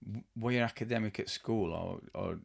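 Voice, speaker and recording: A man in his fifties hosting a casual podcast interview in a cluttered home studio, talking haltingly with many fillers and restarts. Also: on the low side, gravelly, no room sound. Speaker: podcast host. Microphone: studio mic with headphones.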